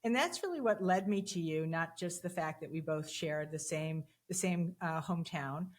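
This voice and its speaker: A woman's voice: sits low, normal speed. Chatty woman hosting an interview. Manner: chatty, with fillers and repeated words. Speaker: podcast host. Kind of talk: conversational interview.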